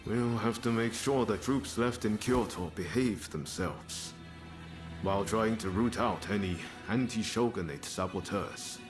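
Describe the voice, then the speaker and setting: A man's voice: fairly low pitch, measured pace, over music, natural voice. An actor playing an earnest, thoughtful young samurai swordsman in a video game cutscene.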